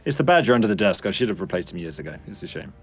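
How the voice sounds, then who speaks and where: A man talks fast, and his pitch moves a lot as he trades dry jokes as a stream guest. His voice sits in a middle register. Music plays clearly behind him. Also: big pitch swings. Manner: dry joking, quick. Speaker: voice director guest. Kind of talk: casual livestream chat.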